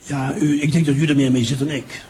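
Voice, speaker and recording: A man's voice: a little high, little pitch movement, darkish tone, close to an everyday voice. An older man playing a boastful, roguish crime boss and charming father in a radio play, drawing out and savouring his words. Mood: contented, relishing. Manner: drawn-out, self-satisfied, savouring his words. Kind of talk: improvised radio play dialogue. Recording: noisy room ambience.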